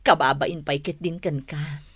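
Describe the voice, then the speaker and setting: Shy woman in love, breathy, slow, romantic radio drama. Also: deep voice, fairly even intonation, dry recording. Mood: embarrassed. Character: bashful, lovesick woman in a romance.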